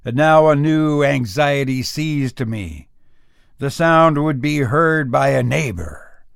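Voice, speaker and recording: Nervous, gloating murderer played by a male reader for gothic horror audio; slow, theatrical delivery, close dry sound. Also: mid-range voice, vocal fry, no echo. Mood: agitated, frantic. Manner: intense, deliberate, emphatic, theatrical. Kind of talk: dramatic gothic horror audio story reading.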